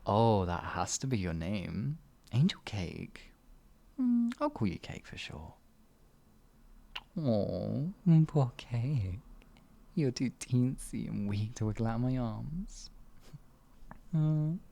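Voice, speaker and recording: A man voicing a sadistic male wizard in an ASMR fantasy roleplay, cruel yet doting, teasing and cooing with soft whispers. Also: mid-range voice, big pitch swings, slow pace, creaky, pauses often, heavily acted. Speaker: voice actor.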